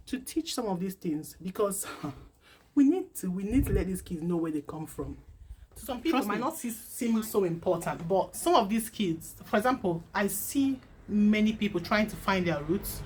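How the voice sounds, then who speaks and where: Animated woman vlogging. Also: fairly low pitch, a little hiss or hum, rough recording. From Nigeria. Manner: animated, rhetorical, repeats questions. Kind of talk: casual talk to camera. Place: home in the UK.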